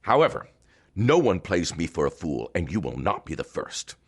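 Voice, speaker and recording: An actor playing a cold, controlling older man in an audio drama, threatening and swinging from hushed to loud. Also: big pitch swings, normal speed, full character voice. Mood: furious. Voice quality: gravelly, very deep. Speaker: Doctor Barrows, wealthy older power broker.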